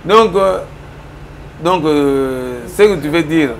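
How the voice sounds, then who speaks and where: A middle-aged man with a Congolese accent, arguing politics in an interview, mixing Lingala and French with emphatic, forceful points. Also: a little high, animated, slow pace, creaky, slight background noise. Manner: switches between Lingala and French, emphatic and argumentative. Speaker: political commentator. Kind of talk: studio interview. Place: small indoor office room.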